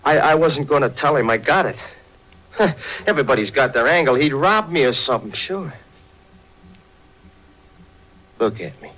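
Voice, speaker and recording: An actor playing a jumpy, suspicious small-time crook in a 1940s radio thriller, stammering and curt in slangy talk. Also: male voice, mid-range voice, expressive, a little fast, fairly bright tone, poor audio. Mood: agitated, defensive. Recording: old 1940s radio broadcast recording.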